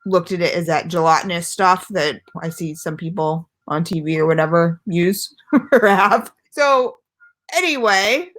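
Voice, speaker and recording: A woman's voice: on the low side, a little slow, a bit bright. A woman chatting casually at home as she reviews a product for her channel, rambling and self-deprecating, with lots of fillers. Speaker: vlogger reviewing e-liquids. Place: at home, not her usual setup.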